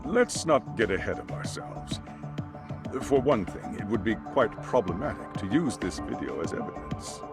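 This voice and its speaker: A man's voice: on the low side, sing-song, moderate pace. An actor playing a formal, careful male school chairman in a video game drama. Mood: courteous, measured. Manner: polite, careful, formal phrasing. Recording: music behind the voice.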